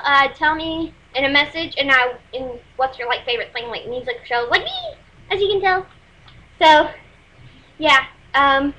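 A woman's voice: high-pitched, bright, forceful tone, low-quality recording. A teenage girl from Texas with a Texas accent, rambling and apologetic through a casual webcam music review at home. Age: teens. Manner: rambling, apologetic, many fillers. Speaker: music vlogger. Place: small room at home, indoors. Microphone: webcam built-in mic.

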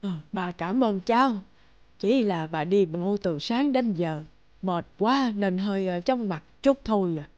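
A woman's voice: mid-range voice, lively intonation, unhurried, a little mellow, no room sound, lightly acted. A woman plays a tired old village granny in a cartoon fairy tale. She speaks in a faint, kindly, grateful way. The recording is dry and a little dull. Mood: weary, grateful.

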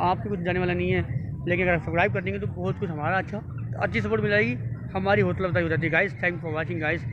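A young man with a fairly high voice, vlogging casually. He repeats himself and drops in English catchphrases. The phone sound is dull, with clear outdoor noise around him. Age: young adult. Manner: chatty, repeats phrases, mixes in English catchphrases. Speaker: vlogger, host. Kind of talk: casual outdoor vlog commentary. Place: outdoors in a public park. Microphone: phone at arm's length. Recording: dull lo-fi phone audio, noisy park.

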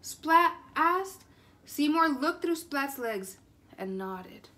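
A woman's voice: sits high, lively intonation, drawn-out words, bright, forceful tone, slight background noise. A woman in her twenties reading a children's story aloud with expression. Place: room at home, indoors.

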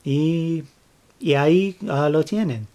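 A man speaking Spanish as a second language, giving a step-by-step craft tutorial, with many fillers, restarts and pauses as he searches for words. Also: a little high, darkish tone. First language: not Spanish. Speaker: flag-craft tutorial maker. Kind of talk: halting how-to narration.

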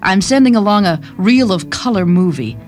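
A woman's voice: mid-range voice, expressive, normal speed, a little mellow, soft background music, close to an everyday voice. An actress playing a doting, chatty young mother in a radio drama, confiding and gushing expressively. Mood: proud, gushing.

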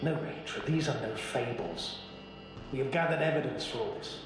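A man's voice: medium pitch, lively intonation, normal speed, music in the background, natural voice. A man acting an insistent astrogator, heavily echoing. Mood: certain. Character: earnest, insistent male astrogator of a space crew. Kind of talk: dramatic video game dialogue. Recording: very echoey hall with noisy ambience.

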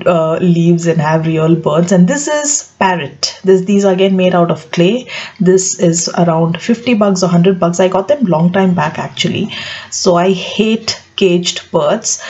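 A woman in her thirties with an Indian English accent, talking through her home makeover in a chatty, frank way with soft laughs. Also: on the low side, highs cut off. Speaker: home vlogger. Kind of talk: casual home makeover voice-over.

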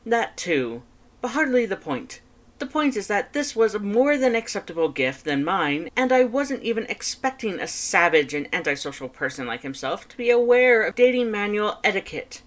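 A woman's voice: medium pitch, very animated, moderate pace, pitched above the performer's own voice, natural voice. A woman voicing a proud, competitive male skeleton in a fan fiction audiobook, speaking emphatically and formally with theatrical flair. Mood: flustered, outraged.

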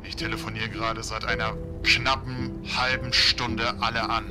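An actor playing a gruff, stubborn boss in an audio drama, curt and commanding in his delivery. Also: medium pitch, level tone, moderate pace, over music, natural voice. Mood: grudging relief, worried. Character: gruff, stubborn, swearing male boss of the ferrymen.

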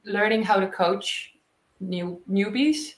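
A young woman speaks English as an in a casual, giggly, slightly halting way. Her pitch is average, and the room echoes around her on a video call. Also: slight background noise. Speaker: cricket player and coach. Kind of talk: casual interview chat. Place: small indoor room, seated on a couch. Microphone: shared video call microphone, at some distance. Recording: roomy echo on a video call.

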